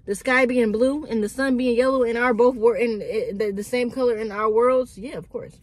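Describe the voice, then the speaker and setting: A woman's voice: fairly high pitch, moderate pace, a little mellow. A woman in her early twenties chatting casually to her phone camera from a parked car, rambling with lots of "like" and "dude". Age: early 20s. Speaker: vlogger. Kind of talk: casual chat while sitting in a car. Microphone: phone camera on dashboard.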